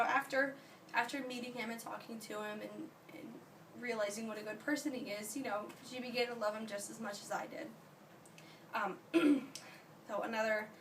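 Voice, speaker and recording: A young woman gives a class speech in a hoarse, slightly cracking voice left over from an illness. She speaks earnestly but haltingly, with fillers and restarts. She sounds a little far from the mic, with faint room noise behind her. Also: a little high. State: hoarse from being sick. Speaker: student giving a speech.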